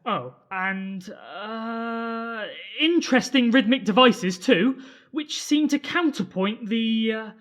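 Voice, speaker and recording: Nervous, bumbling prisoner, played by a woman: slightly high voice, halting, earnest, amateur sci-fi audio drama. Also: lively intonation. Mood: nervous flattery. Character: nervous, bumbling Earthling prisoner improvising flattery.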